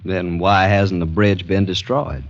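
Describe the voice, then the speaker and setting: A courteous Southern gentleman with quiet schemes in a vintage radio play. The voice is very deep, the questions measured and polite, the recording dull. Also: steady tone. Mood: courteous, curious. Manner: measured, probing, genteel. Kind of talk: 1940s-50s radio acting.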